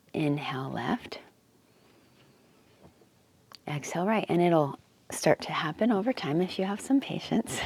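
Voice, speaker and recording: Low-voiced woman guiding a meditation. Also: darkish tone. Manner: unhurried, informal, pausing between phrases. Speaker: meditation guide. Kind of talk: guided meditation, gentle instruction. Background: wind chimes and ambient noise.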